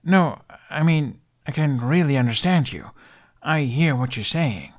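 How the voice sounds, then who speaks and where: An actor playing an awkward young man, stammering and excitable, with a wry, sarcastic streak. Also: mid-range voice, a little slow, lightly acted. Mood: excited, defensive.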